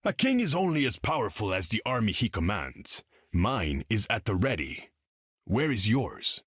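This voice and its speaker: A man's voice: on the low side, big pitch swings, moderate pace, a bit bright, slightly rough, dry recording, studio quality, exaggerated voice. An actor playing a proud, imperious undersea king in a video game, speaking in a pompous, formal way. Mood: superior, mocking. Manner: pompous, grandiose, formal speech. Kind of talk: video game character dialogue.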